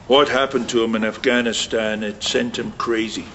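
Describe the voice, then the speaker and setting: An actor plays a bitter, guarded Scottish man in a naturalistic radio drama. His voice sits at an ordinary male pitch. The sound is slightly dull, with some noise behind him. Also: fairly even intonation, moderate pace, fairly bright tone, close to an everyday voice. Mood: wary. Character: bitter, wary man discussing his violent war-damaged cousin. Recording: muffled, with clear background noise.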